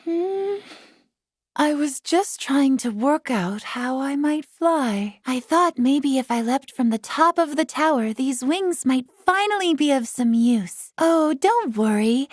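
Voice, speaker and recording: An airy young winged game girl, high voice, slow n earnest, matter-of-fact musing. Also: animated, no room sound, studio quality. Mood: breezy musing. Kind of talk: scripted video game voice acting.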